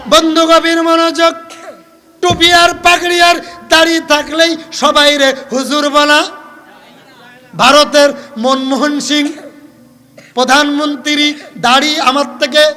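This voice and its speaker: A man with a Bangladeshi accent preaching an Islamic sermon, telling stories theatrically in drawn-out, half-chanted phrases and calling for responses. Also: extremely high pitch, little pitch movement. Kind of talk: fiery waz sermon storytelling to a gathering. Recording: reverberant loudspeaker sound with long echo.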